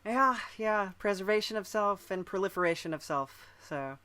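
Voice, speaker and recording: A man hosting a casual conversational interview, agreeable and halting, often repeating his phrases. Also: high voice, animated, bright, forceful tone, clean tone. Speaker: podcast host, interviewer.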